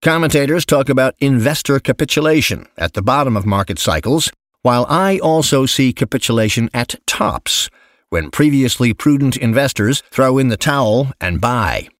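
A man reading an essay aloud as scripted narration, measured and articulate, with emphatic phrasing. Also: mid-range voice, animated, no room sound, clean studio sound. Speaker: narrator reading an investment memo.

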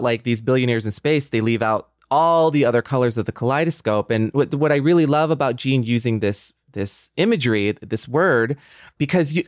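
A man's voice: mid-range voice, dry recording, studio quality. A man, co-hosting a podcast in casual, reflective discussion. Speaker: podcast co-host.

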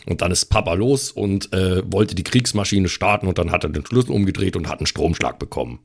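A man's voice: deep voice, expressive, fairly quick, gravelly. A man on a podcast talking conversationally and improvising. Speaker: podcast cast member. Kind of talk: improvised in-character storytelling.

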